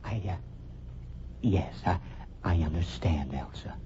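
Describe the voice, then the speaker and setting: An actor playing a meek, naive husband in a mystery radio drama, speaking haltingly. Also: male voice, low-pitched, animated, dark tone. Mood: hesitant, submissive. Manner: halting, faltering. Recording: muffled, noisy old radio drama recording.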